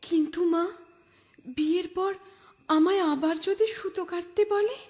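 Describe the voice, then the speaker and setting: Young fairy-tale bride: sweet, polite, very high-pitched, reverberant, soft music bed. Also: female voice, a little slow. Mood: eager, anxious. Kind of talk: Bengali fairy-tale cartoon acting. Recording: hall reverb, music behind.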